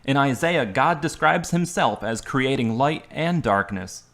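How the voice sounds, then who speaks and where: A man's voice: medium pitch, animated, a little slow, fairly bright tone. A man acting a wounded young man in a hospital bed, curt and argumentative, coughing between lines. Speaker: lead actor. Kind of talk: amateur radio drama acting.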